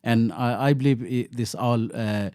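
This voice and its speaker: A man from Bangladesh, a local councillor, answering interview questions in a halting way with many fillers and restarts. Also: medium pitch, mellow, no echo, clean studio sound.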